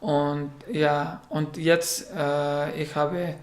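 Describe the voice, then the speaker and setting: Afghan man, Pashto native, unhurried and halting interviewee, roomy echo. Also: on the high side, flat delivery. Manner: halting, many fillers, searching for words. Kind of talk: casual interview.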